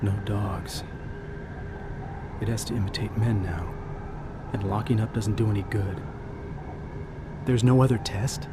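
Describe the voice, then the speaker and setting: Low, sombre expedition man, acted by a man. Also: steady tone, a little slow, pauses often, heavy background noise, natural voice. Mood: bleak. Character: sombre, shaken male member of a polar expedition. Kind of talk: audio drama acting.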